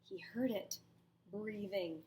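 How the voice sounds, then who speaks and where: A woman's voice: medium pitch, mellow, slight background noise. A woman teacher reading a storybook aloud to children expressively, with dramatic emphasis and asides to her listeners. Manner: dramatic pauses, emphatic, questions to young listeners.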